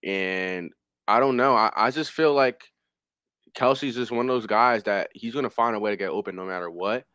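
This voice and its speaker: A man's voice: lowish voice, normal speed, fairly bright tone, clean tone, no echo, clean studio sound. A young man on a headset in a video call, talking football in a loose, rambling way with many fillers. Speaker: panelist. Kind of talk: casual sports chat.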